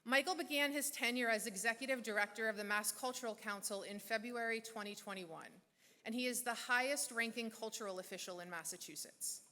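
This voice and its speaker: Female emcee, bright and forceful, reads a prepared speech at a measured pace. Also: fairly high pitch. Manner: measured, deliberate pauses. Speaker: event host. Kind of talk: scripted speech at a gala. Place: large church hall. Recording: long reverb of a large hall.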